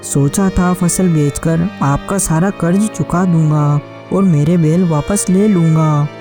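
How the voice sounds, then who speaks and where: A woman's voice: deep voice, slow pace, over music. A woman voicing a humble, earnest male villager in a cartoon moral story, with a plaintive manner. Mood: distressed. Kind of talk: Hindi animated moral story dialogue.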